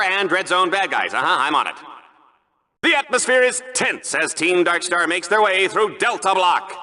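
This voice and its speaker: Bright, high-pitched flamboyant game-show commentator. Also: male voice, full character voice. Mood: mock drama. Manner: theatrical, glib, swooping from mock drama to flippant asides. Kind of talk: over-the-top live broadcast commentary.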